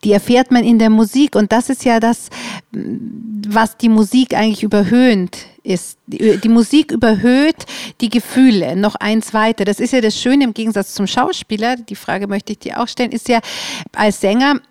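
A woman's voice: a little high, moderate pace, a little mellow, no echo. Bavarian woman interviewer, close dry sound. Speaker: interviewer, host. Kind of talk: casual conversational interview.

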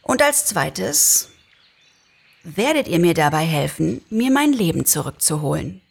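A woman voicing a slight, excitable man freed from a spell in an audio-play reading, exclaiming and cheeky. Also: fairly low pitch, unhurried, gravelly, full character voice. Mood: cocky, demanding. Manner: overjoyed exclaiming, cheeky and self-assured.

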